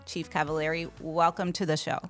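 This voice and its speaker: A woman's voice: deep voice, level tone, a little fast, over music. A woman co-hosting a podcast for a city communications team, upbeat and affirming in casual talk.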